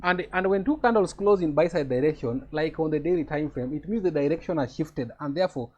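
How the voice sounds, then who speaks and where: A man's voice: a little high, fairly quick, a little mellow, lo-fi sound. A man with a Kenyan accent teaching a live trading lesson over a video call, explaining step by step and checking that his students follow.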